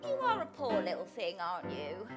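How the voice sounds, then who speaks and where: A woman's voice: lowish voice, huge pitch swings, a little fast, loud music behind the voice. A woman playing a vain, nasty, domineering mother in an improvised pantomime, gloating and falsely affectionate in a theatrical way. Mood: sneering.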